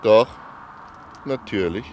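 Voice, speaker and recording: An actor in a radio play as a reserved, cryptic local man, formal and measured in short phrases. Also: fairly low pitch. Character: reserved, cryptic male townsman, formal and ominous. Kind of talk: German radio play acting. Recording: outdoor street ambience noise.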